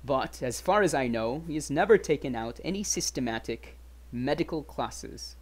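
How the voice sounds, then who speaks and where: Storybook young gentleman, played by a man: frank, chatty, wary, old-fashioned manners, very lively pitch. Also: normal speed, natural voice. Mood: chatty, candid. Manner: animated, emphatic, old-fashioned polite phrasing. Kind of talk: dramatic audiobook reading.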